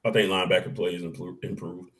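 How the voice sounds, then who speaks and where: A man with a deep, bassy voice, co-hosting a casual sports talk show and arguing his points with animated, salty energy. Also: quick pace. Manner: animated, argumentative, swears casually.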